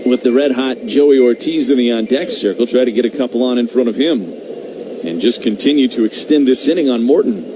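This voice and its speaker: A man's voice: mid-range voice, a little fast, noisy background. A man calling baseball play-by-play live on the radio. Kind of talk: live radio sports commentary.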